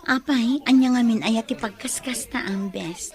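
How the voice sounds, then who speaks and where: A woman's voice: a little high, normal speed, a little mellow, close to an everyday voice. An actress playing a chatty young woman's best friend who gives advice, in an Ilocano radio drama. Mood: questioning.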